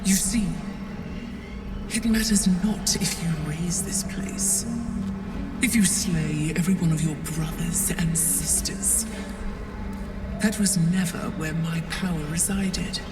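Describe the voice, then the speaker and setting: A woman voicing a cold, domineering goddess of darkness in a video game, deliberate and ominous, with a booming reverb effect. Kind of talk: slow, theatrical game monologue. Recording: long echoing reverb, distant, music behind. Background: background music.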